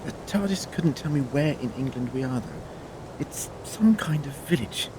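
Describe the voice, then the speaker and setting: An actor playing a learned, eloquent time traveller in an audio drama, with a theatrical, drily humorous manner. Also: male voice, mid-range voice, lively intonation, moderate pace, background noise, natural voice. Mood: musing. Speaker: lead actor.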